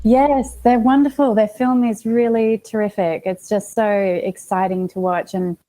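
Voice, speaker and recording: A woman with an Australian accent chatting casually as an interview guest, often pausing mid-thought as she talks. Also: on the high side, fairly even intonation, mellow, low-quality recording. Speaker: interview guest, actress and producer.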